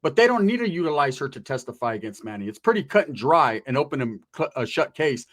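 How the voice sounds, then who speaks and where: A man's voice: mid-range voice, sing-song, fast, fairly bright tone. A man hosting informal true-crime commentary, talking straight to viewers in emphatic street slang with rhetorical questions and some swearing. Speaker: online commentary host.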